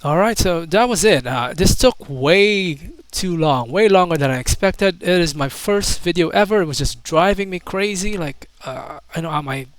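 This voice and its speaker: A man's voice: sits high, expressive, moderate pace, hardly any pauses, no room sound. A man doing casual YouTube commentary, reading comments aloud in a mocking, incredulous manner with hesitant asides.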